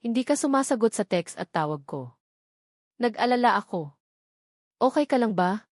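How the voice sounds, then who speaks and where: A woman with a Filipino accent voicing a gentle, concerned young man in an audio love drama. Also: on the high side, expressive, normal speed, no room sound, clean studio sound. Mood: worried. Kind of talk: audio drama character dialogue.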